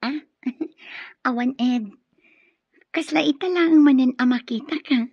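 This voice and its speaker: A woman's voice: high-pitched, slow, slightly rough, dry recording, heavily acted. A woman with an Ilocano accent playing a friendly, trusting young student in a radio drama. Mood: shy, friendly. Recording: dull radio drama sound, music behind.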